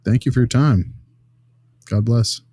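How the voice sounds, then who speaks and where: A man's voice: mid-range voice, unhurried, dark tone, no echo, clean studio sound, close to an everyday voice. A man playing an earnest behavior consultant in an improvised role-play, reasoning aloud in a casual, rambling way. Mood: earnest, measured. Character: earnest male behavior analyst consulting on a child's case.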